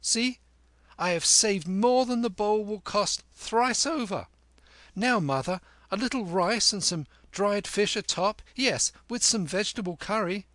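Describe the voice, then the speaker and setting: A man putting on an eager high street boy. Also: a little slow, fairly bright tone, no room sound. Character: bold street boy, quick and cheeky.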